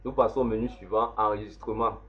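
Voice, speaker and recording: A man, slowly walking through a software setup step by step as an instructor. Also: fairly low pitch, steady tone. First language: French. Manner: slow, step-by-step explaining. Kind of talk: screen-recorded software tutorial. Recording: dull lo-fi voice recording.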